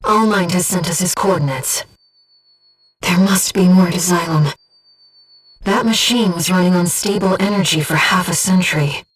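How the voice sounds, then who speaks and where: A woman voicing an earnest, gentle guide who speaks inside a pilot's head in a mecha video game, in measured scripted delivery. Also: lowish voice, husky, recorded from a distance, rough recording. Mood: focused briefing. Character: soft-spoken, earnest female voice in a pilot's head, loyal guide. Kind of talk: scripted video game voice acting, tactical callouts.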